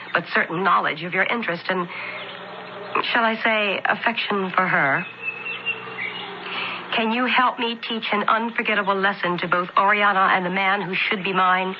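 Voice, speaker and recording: A woman's voice: mid-range voice, moderate pace, long pauses, natural voice. An actress playing a proud, vindictive young noblewoman of ancient times in a radio drama, speaking formally and commandingly. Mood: pointed. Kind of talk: old-time radio drama acting. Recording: dull, noisy old radio broadcast.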